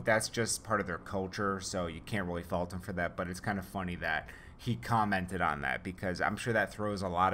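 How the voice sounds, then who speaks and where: Bright-voiced man rambling through commentary. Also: deep voice, a little hiss or hum. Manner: rambling, many fillers and restarts. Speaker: news commentary vlogger. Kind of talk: casual commentary.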